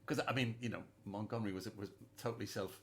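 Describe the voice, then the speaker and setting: A man's voice: fairly low pitch, fast, fairly bright tone, a little hiss or hum. A man hosting a casual music chat, hesitant and repeating words, laughing often. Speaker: host.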